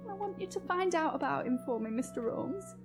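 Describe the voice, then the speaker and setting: A worried village mother, humble and working-class, pleads in a high-pitched voice. It is old radio melodrama, with music in the recording. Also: animated, fairly quick. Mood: pleading. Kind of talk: old-time radio drama acting.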